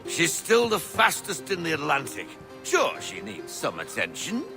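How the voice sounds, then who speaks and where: A grizzled, blustering old sailor for a video game. His pitch leaps wildly and theatrically through a gravelly voice, proud and emphatic. Music plays behind him. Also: wildly swooping pitch, a little slow, exaggerated voice. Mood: gruff, defensive. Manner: theatrical, swooping, emphatic delivery. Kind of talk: video game cutscene acting.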